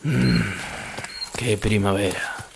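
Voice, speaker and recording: Ageing retired matador, low-pitched, wistful and brooding, for an audio drama monologue. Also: male voice, steady tone, drawn-out words, gravelly, background noise, exaggerated voice. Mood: grieving, wistful. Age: older. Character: ageing melancholy retired Spanish bullfighter musing on his past.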